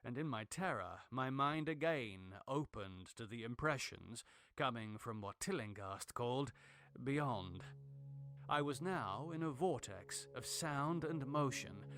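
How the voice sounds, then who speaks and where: A man reading literary horror as a dramatic narrator, in a measured, grave and deliberate way. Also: medium pitch, animated, fairly bright tone. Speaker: narrator, audiobook reader.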